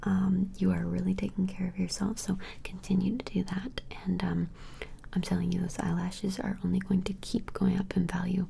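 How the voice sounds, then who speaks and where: A woman whispering softly and gently in an ASMR personal-attention role play, hesitant and reassuring. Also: fairly low pitch, completely flat delivery, normal speed, slight background noise. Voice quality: soft breathy whisper with fry. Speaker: ASMR performer playing an appraiser taking measurements.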